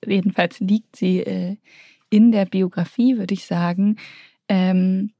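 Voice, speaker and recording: A woman's voice: mid-range voice, warm tone, no echo, studio quality. A woman, talking reflectively and hesitantly as an interview guest. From Berlin, Germany. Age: 20s. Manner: hesitant, slipping in English phrases. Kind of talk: reflective conversational interview talk.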